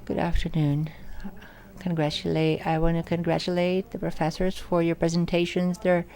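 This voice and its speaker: A woman with a fairly low voice renders speakers live for an academic audience. She delivers their words in short bursts with pauses and quick self-corrections, keeping close behind each speaker. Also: completely flat delivery, warm tone. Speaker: simultaneous interpreter. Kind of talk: live interpretation of audience questions at an academic symposium.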